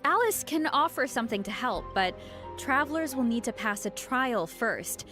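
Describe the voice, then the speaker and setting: A woman's voice: a little high, very animated, moderate pace, pushed and bright. A woman presenting a program in a scripted conversational style. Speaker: presenter of a game special program. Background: music.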